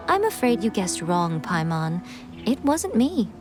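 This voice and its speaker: An actress playing an elegant, knowing woman in an anime-style video game, with a playful, teasing manner. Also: mid-range voice.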